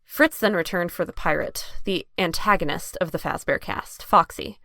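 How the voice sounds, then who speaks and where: A woman's voice: lowish voice, moderate pace, vocal fry, bright, forceful tone, dry recording, studio quality. A woman narrating a scripted audio drama as its storyteller.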